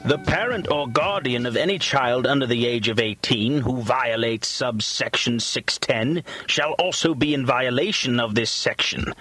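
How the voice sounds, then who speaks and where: A man narrating in a mock-solemn documentary style, like a grave orator, with pompous and deliberate delivery. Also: mid-range voice, fairly bright tone, rough recording. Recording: music behind the voice. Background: music.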